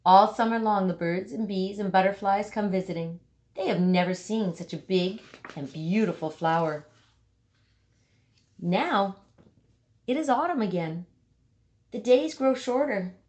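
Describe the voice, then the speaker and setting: A woman reading a children's picture book aloud, with dramatic emphasis and deliberate pauses for young listeners. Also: lowish voice, a little mellow. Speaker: storybook reader.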